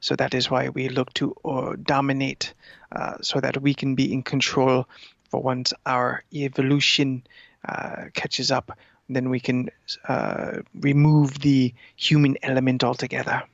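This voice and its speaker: A performer voicing a vain, scheming talking cat with a halting, pompous manner on a comedy podcast. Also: medium pitch, level tone, creaky, no echo, dull audio. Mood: coolly scheming. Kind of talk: improvised comedy podcast interview in character.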